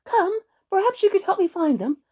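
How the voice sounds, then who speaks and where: Lady doing a jolly little witch, calling out, high and lilting, storybook style. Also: big pitch swings, mellow, lo-fi sound. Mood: fretful, calling. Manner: calling out, drawn-out. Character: jolly little witch who has lost her goggles.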